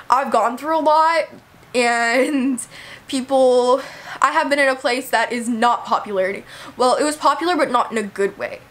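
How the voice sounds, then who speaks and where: Young woman, high voice, hoarse with a cold, casual chatty vlog. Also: a bit bright. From Texas, USA. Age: young adult. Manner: chatty, lots of "like" and "you know". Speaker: vlogger. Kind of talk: casual talk to camera.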